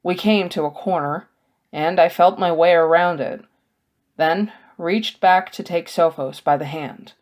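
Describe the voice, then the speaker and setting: A woman narrates a story in the first person. Her voice is slightly low, and she reads at a slow pace, as an audiobook narrator would with scripted prose. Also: darkish tone.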